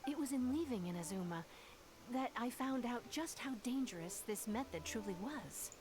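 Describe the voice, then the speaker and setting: A woman playing an earnest young female refugee in a video game, telling things in a measured, sincere way. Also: a little high, lively intonation, background noise, natural voice. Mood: solemn recounting. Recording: game music behind the voice.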